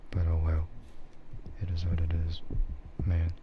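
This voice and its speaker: A man streaming soft, breathy ASMR chat, hesitant and unhurried, often dropping into a whisper. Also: sits low, monotone. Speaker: streamer, VTuber. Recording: muffled, lo-fi, clearly noisy.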